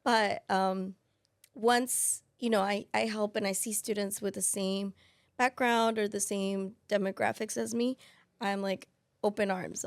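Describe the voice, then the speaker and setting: A woman's voice: mid-range voice, vocal fry, no room sound, clean studio sound. A woman in her thirties whose first language is Spanish, talking as a financial aid specialist in a casual interview, thoughtful and full of restarts. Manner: thoughtful, with many fillers and restarts.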